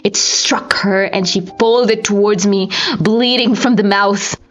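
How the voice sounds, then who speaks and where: Woman doing super dramatic horror audiobook read, slow, kinda muffled audio w music under it. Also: medium pitch, fairly even intonation. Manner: theatrical, slow, with whispers and anguished outbursts. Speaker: amateur audiobook narrator. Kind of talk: very dramatic reading of a horror story.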